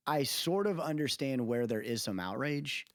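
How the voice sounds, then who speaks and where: Male podcast host, lively swooping intonation, dry sound, opinionated, slangy, conversational. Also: mid-range voice, normal speed, no echo. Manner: opinionated, slangy, repeatedly seeks agreement with tag questions. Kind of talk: casual podcast chat.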